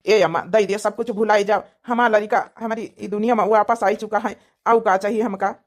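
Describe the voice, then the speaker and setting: A woman's voice: medium pitch, fairly even intonation, a little fast, natural voice. A woman voicing an earnest, emotional village man in a cartoon family drama, insistent and repetitive, with an Awadhi accent.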